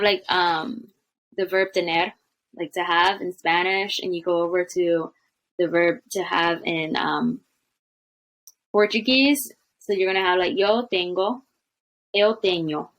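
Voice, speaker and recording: A woman's voice: on the low side, steady tone, slow pace, a bit bright, low-quality recording. A young woman, a language coach, chatting casually on a video call from a home room, with lots of fillers. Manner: chatty, many fillers, laughs often. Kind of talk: casual interview chat.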